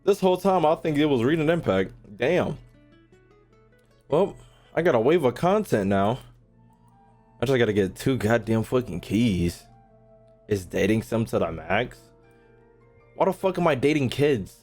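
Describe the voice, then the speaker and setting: A man's voice: mid-range voice, animated, unhurried, long pauses, a little mellow, soft background music. A man livestreaming a game, chatting casually to his viewers in slangy, profane, joking talk. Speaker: game streamer.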